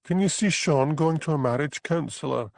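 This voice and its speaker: A man's voice: moderate pace, gravelly, dry recording. A man voicing a trapped, tearful young wife. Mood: pleading. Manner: pleading, plaintive, high-pitched. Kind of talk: audiobook character voice.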